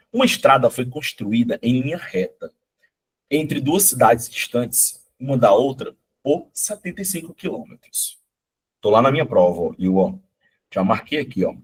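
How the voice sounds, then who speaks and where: A middle-aged man, hoarse from a cold, teaching a live online math exam-prep class in a chatty, coaxing way, often checking in with his students. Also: medium pitch, animated, normal speed. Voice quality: husky.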